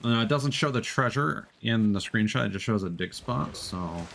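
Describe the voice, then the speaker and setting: A man's voice: on the low side, animated, moderate pace, vocal fry, background noise. A man streaming a game, chatting casually and thinking aloud in hesitant, unfinished fragments. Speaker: live streamer. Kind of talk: casual gameplay chat.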